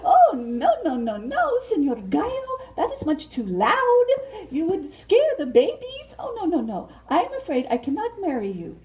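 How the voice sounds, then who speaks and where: A woman voicing a prim young lady being courted by animal suitors, high and fluttery. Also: huge pitch swings, normal speed, few pauses, darkish tone, rough recording. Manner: high, fluttery, politely refusing.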